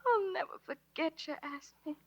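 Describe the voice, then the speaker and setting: A woman's voice: high voice, moderate pace. An actress playing a devoted, emotional saloon girl in a 1940s radio western melodrama. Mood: sorrowful, tender. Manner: intense, emotional, dramatic. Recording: noisy 1940s radio recording.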